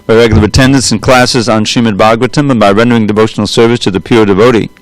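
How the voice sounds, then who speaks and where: A man leading a devotional scripture class, reciting opening prayers slowly and in a measured way into a room microphone in a temple. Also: lowish voice, fairly even intonation, dry recording, poor audio. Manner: loud voice. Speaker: class speaker reading scripture. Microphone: room microphone with adjustable volume.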